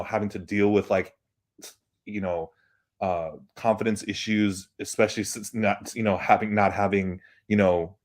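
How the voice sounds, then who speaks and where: Hesitant low-voiced man in his thirties. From United States. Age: 30s. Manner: hesitant, halting, many restarts. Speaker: caller. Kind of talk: casual chat. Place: off camera, joining a live stream remotely.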